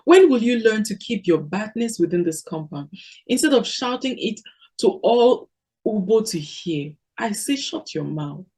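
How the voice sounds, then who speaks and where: A woman reading aloud, voicing a stern older husband who commands his quarrelling wives to be quiet. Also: medium pitch, big pitch swings, unhurried, breathy edge, heavily acted. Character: gruff older husband, weary head of compound.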